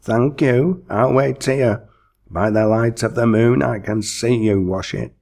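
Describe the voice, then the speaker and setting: A man's voice: medium pitch, a little slow, gravelly, darkish tone, pitched above the performer's own voice. A man voicing a nervous, cunning schoolmaster wizard in a storybook, plaintive and exclamatory. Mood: dry. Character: terrified, cunning male schoolmaster wizard, quavering and pleading. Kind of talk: storybook character voice.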